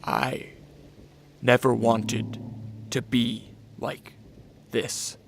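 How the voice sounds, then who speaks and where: An actor playing a weary, melancholy vampire centuries old in a radio drama. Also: male voice, medium pitch, animated, drawn-out words, creaky, a little hiss or hum, heavily acted. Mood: melancholy, wistful. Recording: music behind the voice.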